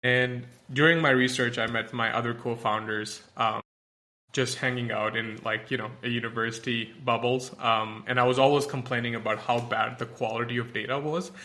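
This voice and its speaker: A man's voice: medium pitch, fairly even intonation, moderate pace, sharp tone. A young man from Pakistan, a tech company founder, answering podcast interview questions thoughtfully with many fillers and restarts.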